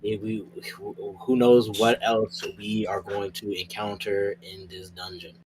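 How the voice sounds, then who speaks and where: A man playing a tabletop roleplay game, bantering casually and often repeating his phrases haltingly. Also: fairly low pitch, flat delivery, crowd noise, poor audio.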